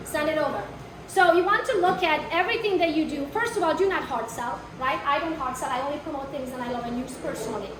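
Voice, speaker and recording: Brash high-voiced accented woman trainer. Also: fast talker. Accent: foreign. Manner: brash, blunt, asks the room questions. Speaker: real estate marketing trainer. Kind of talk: live workshop talk to an audience. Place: training room with a live audience. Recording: echoey room, mic far off, noisy.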